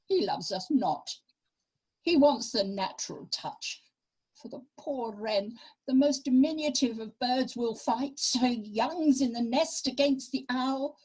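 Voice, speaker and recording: A woman's voice: sits high, slow pace, a little mellow, breathy edge, heavily acted, low-quality recording. A woman playing a bitter, abandoned noblewoman and mother in an amateur Shakespearean radio play. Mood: bitter, distressed.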